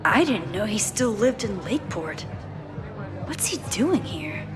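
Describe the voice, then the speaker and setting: A woman's voice: medium pitch, very animated, unhurried. A woman playing a thoughtful, caring young woman in a video game, speaking in an understated, introspective way. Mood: musing. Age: young adult. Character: thoughtful young woman, caring, quietly burdened photographer with powers. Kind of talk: video game acting, inner monologue and casual dialogue. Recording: music behind the voice.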